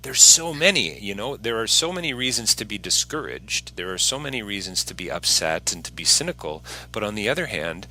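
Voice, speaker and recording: A man's voice: on the low side, very animated, a little fast, hardly any pauses, pushed and bright, faint music behind, poor audio. A man hosting a casual interview podcast, talking in an earnest, encouraging way.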